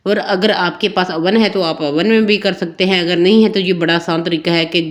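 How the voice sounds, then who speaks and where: A woman with a Pakistani Urdu accent, a little hoarse, talking through a home cooking recipe in a homely, chatty step-by-step way. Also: lowish voice, fairly even intonation, fairly quick. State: slightly hoarse throat. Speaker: cooking channel host. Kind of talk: home cooking tutorial voice-over.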